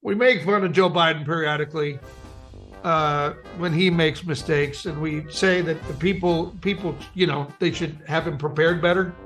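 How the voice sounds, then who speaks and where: A middle-aged man with a distinctly high-pitched voice co-hosts a podcast. He delivers news oddities in a loose, conversational style, trading banter as he goes. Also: steady tone, normal speed, fairly bright tone, faint music behind, low-quality recording. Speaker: podcast co-host. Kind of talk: casual comedic news banter.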